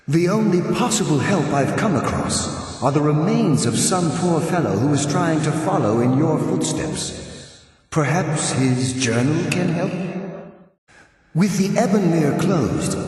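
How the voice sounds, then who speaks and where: An actor playing a solemn, remorseful male ghost in a video game, speaking gravely and formally with a ghostly reverberation. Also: a little high, a little mellow. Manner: grave, formal, wistful delivery. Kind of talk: scripted video game dialogue. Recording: cavernous long reverb, distant.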